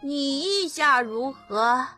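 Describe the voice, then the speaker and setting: A man voicing a young boy emperor in an audiobook, commanding, with slow, measured, drawn-out delivery. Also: extremely high pitch, lively intonation, pushed and bright, soft background music, pitched above the performer's own voice, full character voice. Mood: calm, commanding.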